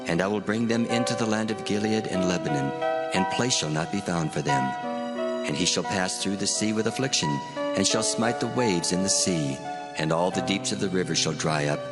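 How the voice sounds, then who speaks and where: An actor playing the solemn voice of God, declaiming promises in archaic language for a dramatized scripture reading. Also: male voice, sits low.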